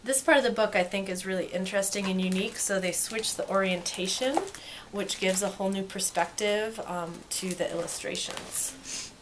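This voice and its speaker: A woman presents a children's picture book in a medium-pitched voice. She reads expressively and comments casually in a softer tone. Faint noise sits behind her voice. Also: steady tone, normal speed.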